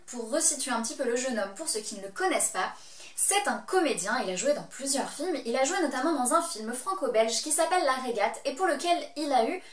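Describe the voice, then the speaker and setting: A Belgian French woman hosts a chatty review vlog, teasing and playful as she talks straight to her viewers. Her voice sits high, and the small room around her adds a clear echo. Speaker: vlog host reviewing shows.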